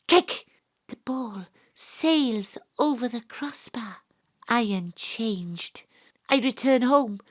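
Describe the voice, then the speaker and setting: A woman's voice: on the high side, a bit bright. An actress playing an earnest, troubled young Welsh woman who becomes a rugby captain, in an audio drama. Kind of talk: audio drama monologue and dialogue.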